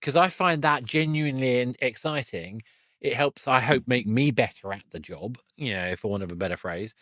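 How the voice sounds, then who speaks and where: A man's voice: mid-range voice, moderate pace, fairly bright tone, no echo. Dry-sounding male podcast host in conversation. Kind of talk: casual conversational interview.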